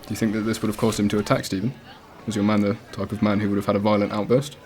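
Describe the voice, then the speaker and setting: A man's voice: fairly low pitch, little pitch movement, very fast, darkish tone, a little hiss or hum, natural voice. A man with a British accent playing a casual but persistent police detective in a radio play, questioning politely and insistently. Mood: polite, pressing. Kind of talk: student radio drama acting. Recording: cafe crowd chatter behind.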